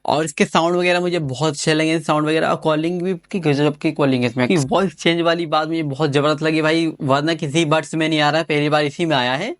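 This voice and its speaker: A man's voice: a little high, fairly even intonation, fast, few pauses, no echo. A man giving casual, informal unboxing commentary as an enthusiastic gadget reviewer, often repeating his phrases.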